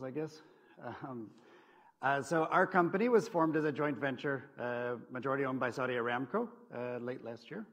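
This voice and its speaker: A man giving a conference presentation on a lecture hall stage, casual and filler-heavy, often trailing off softly. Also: medium pitch. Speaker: conference speaker.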